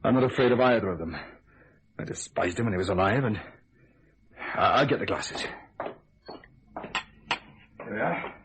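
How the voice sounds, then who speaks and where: An actor playing a shifty, defiant criminal in a radio thriller, brusque and sardonic. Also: male voice, lowish voice, lively intonation, moderate pace, long pauses, fairly bright tone, slight background noise. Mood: grim, tense.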